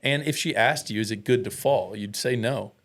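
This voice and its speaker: A man's voice: mid-range voice, moderate pace, fairly bright tone. American man, mid-range voice, interview guest. Informal, earnest, self-deprecating storyteller. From United States. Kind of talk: casual, candid personal storytelling.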